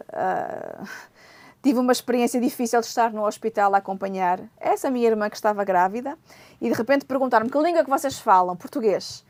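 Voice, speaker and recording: A woman's voice: fairly high pitch, a little mellow. A woman on a religious discussion panel who talks emphatically and pleadingly, often tagging her points with a question. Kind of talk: studio discussion, storytelling.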